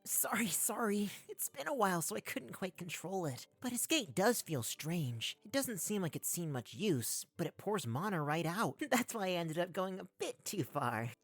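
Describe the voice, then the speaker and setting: A tiny anime spirit creature with a drowsy, playful, bashful manner. The voice is husky and swings lively in pitch. It sits close and dry over background music. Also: male voice, moderate pace, few pauses, no room sound, full character voice. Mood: bashful, apologetic. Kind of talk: anime fan dub acting.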